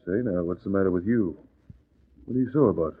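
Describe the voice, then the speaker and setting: A man's voice: low-pitched, fairly quick, husky, no echo. A man playing a crooked, scheming American businessman in a 1940s radio drama, brusque and slangy, blustering yet anxious. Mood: breezy. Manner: brusque, slangy, tough-talking. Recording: dry, tinny old radio recording.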